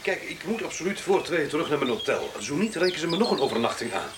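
A man's voice: on the high side, big pitch swings, fast, a loud crowd. An actor in a radio play voicing a polite, hesitant male tourist who stammers deferentially and sounds uneasy. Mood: flustered.

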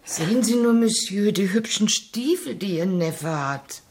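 A lively, outspoken maidservant. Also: female voice, medium pitch, measured pace. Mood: curious. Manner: blunt, bantering, familiar. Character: outspoken, cheeky maidservant of a miser. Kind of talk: German radio play acting.

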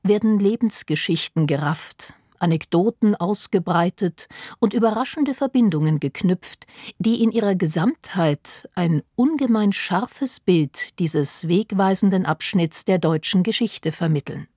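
A woman's voice: fairly low pitch, lively intonation, a little slow, dark tone, husky, no room sound, clean studio sound. A woman reading a scripted programme introduction as a radio announcer.

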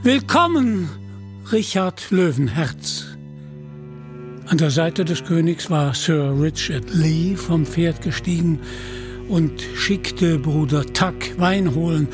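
Male audiobook narrator, expressive and dramatic, slow delivery, raised pitch, some music. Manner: expressive, voices characters in dialogue. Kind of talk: scripted dramatic storytelling.

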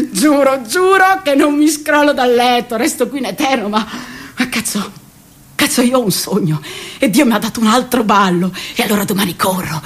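Husky, restless young scooter rider. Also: female voice, sits high. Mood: battered, stubborn. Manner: halting, repetitive, agitated, swinging between murmurs and outcries. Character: restless, defiant, jobless thirty-year-old scooter rider. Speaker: radio theatre actress. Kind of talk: dramatic radio theatre monologue.

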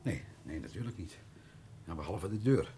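An anxious, deferential hotel employee in a radio crime drama. His fairly low voice moves up and down a lot and trips into stammers. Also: expressive, moderate pace, dark tone, a little hiss or hum. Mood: nervous. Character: nervous, obliging male hotel employee. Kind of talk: radio play acting.

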